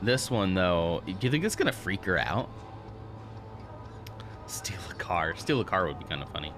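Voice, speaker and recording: A man's voice: deep voice, big pitch swings, moderate pace, music in the background. A man streaming a game, joking and chatting as he plays, laughing often. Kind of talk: casual let's play commentary.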